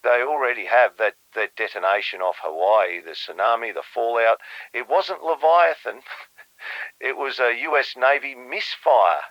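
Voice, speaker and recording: An actor playing a grave, conspiratorial foreign minister of Australia, measured, formal and urgent in an audio drama. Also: male voice, mid-range voice, no echo, highs cut off, natural voice. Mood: urgent warning.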